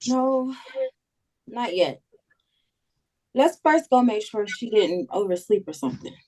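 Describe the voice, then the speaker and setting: A woman playing an anxious but decisive friend in a dramatic table read, casual, direct and expressive. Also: on the high side, takes it slowly.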